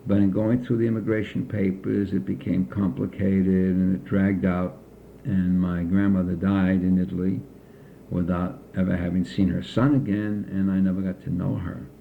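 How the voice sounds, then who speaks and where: An older man from the United States, recalling his childhood slowly and carefully in an oral history interview. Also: low-pitched, flat delivery, creaky, narrow, tinny audio, a little hiss or hum. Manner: slow, measured recollection with frequent pauses.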